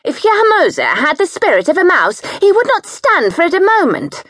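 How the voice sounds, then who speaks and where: Shrill domineering wife voiced by a woman, loud hectoring tone, very high, dry close sound. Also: huge pitch swings, moderate pace, no echo, full character voice. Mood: indignant.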